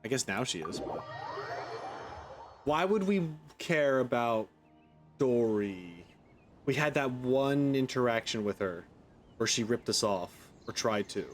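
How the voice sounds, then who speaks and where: A man's voice: medium pitch, animated, unhurried, long pauses, soft background music. A man giving casual reaction commentary as a game streamer, opinionated, informal and jokey.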